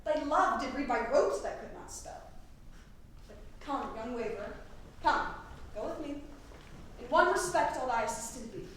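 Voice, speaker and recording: A woman playing a calm, wise old friar. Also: high-pitched, slight background noise. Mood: counselling. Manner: measured, counselling. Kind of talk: stage Shakespeare acting. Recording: echoey hall from far off.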